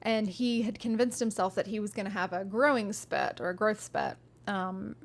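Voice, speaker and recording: A woman's voice: mid-range voice, moderate pace, gravelly. A woman streaming live, reading a fantasy novel aloud and chatting with viewers in a friendly, rambling way with frequent ums.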